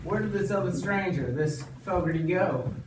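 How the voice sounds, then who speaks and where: Man playing a deadpan cowboy, echoey and distant. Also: high voice, moderate pace, lightly acted. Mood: deadpan, evasive. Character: evasive, terse cowboy claiming to be a stranger. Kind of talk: amateur stage play acting. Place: indoor school stage. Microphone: distant audience camera. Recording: echoey noisy hall from far off.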